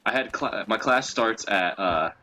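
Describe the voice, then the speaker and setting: A man's voice: fairly low pitch, animated, measured pace, bright, forceful tone, a little hiss or hum. A young man chatting casually and telling stories as a co-host, in a crude, profanity-laden way. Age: young adult. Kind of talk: casual chat, storytelling.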